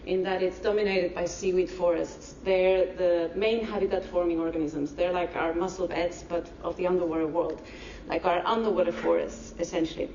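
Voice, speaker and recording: A woman from Barcelona with a Spanish accent giving a public science lecture, speaking slowly and carefully. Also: fairly low pitch, fairly even intonation, darkish tone, slight background noise. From Spain. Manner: deliberately slow, careful explanations. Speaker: marine ecology lecturer. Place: university lecture hall. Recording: echoey lecture hall, distant dull mic.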